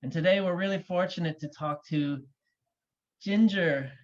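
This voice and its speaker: A man's voice: a little high, normal speed. A man hosting a conversational interview as an admissions consultant, recorded over a video call. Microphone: video call microphone. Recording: dull, lo-fi video call audio.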